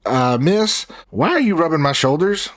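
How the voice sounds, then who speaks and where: A man as a gruff posse leader. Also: a little high, sing-song, normal speed. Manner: blunt, plain-spoken, rough grammar. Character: gruff trigger-happy posse leader, middle-aged man.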